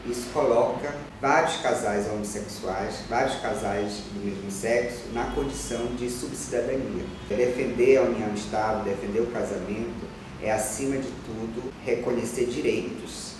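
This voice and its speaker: A man, a journalist and activist, giving measured, explanatory answers in an interview. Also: medium pitch, steady tone, background noise. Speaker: journalist and LGBT association president. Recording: echoey room, distant mic, music underneath.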